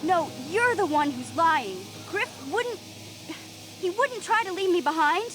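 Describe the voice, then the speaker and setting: A woman's voice: extremely high pitch, expressive, unhurried, fairly bright tone, soft background music, heavily acted. An actress voicing a feisty, stubborn teenage alien girl in a video game, brash and emotional. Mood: angry, defiant, then hurt, pleading. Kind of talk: video game character dialogue.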